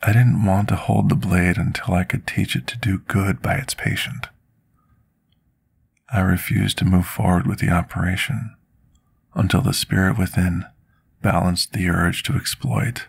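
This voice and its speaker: A man playing a scarred, remorseful potion master in an intimate ASMR roleplay, speaking formally and deliberately like someone confessing his past. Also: sits low, gravelly, husky, no room sound, heavily acted. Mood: earnest, reflective. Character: scarred male potion master, devoted, remorseful, soft-spoken servant.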